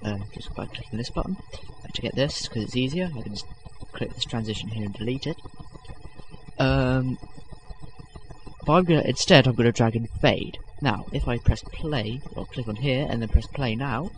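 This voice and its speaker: A man's voice: medium pitch, normal speed, background noise. A young man hosting a casual video-editing tutorial, rambling with lots of fillers and self-corrections, self-deprecating. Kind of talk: unscripted screen-recording tutorial.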